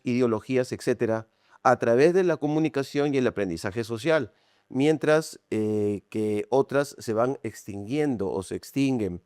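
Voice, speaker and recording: A man's voice: medium pitch, darkish tone, no room sound. Man hosting an interview, dry sound. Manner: friendly, lots of short acknowledgments. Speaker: interview host. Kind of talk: interview conversation.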